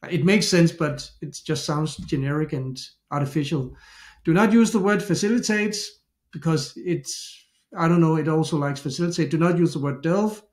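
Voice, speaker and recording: A man's voice: a little high, moderate pace, a little mellow. A middle-aged man with a Danish accent, chatting through a screen-share tutorial and thinking aloud with frequent restarts. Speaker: SEO tutorial host. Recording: echoey room.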